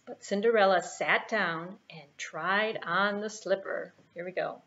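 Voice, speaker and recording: A woman's voice: mid-range voice, a little slow, noisy background. A woman telling a children's story with puppets, recorded indoors with dull, muffled sound. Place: small plain room, indoors.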